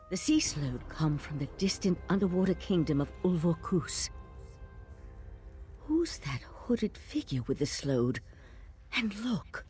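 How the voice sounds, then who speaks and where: Wary fantasy game companion lady, low voice, slow n careful, really expressive. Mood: hushed, alarmed. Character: wary, knowledgeable female adventurer companion in a fantasy world. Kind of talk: video game voice acting.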